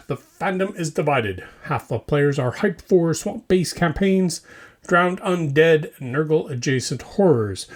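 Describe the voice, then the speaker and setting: A man's voice: fairly high pitch, darkish tone. A man hosting a scripted mock news show, delivering it like a theatrical, mock-serious newscaster. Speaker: host of a hobby news show.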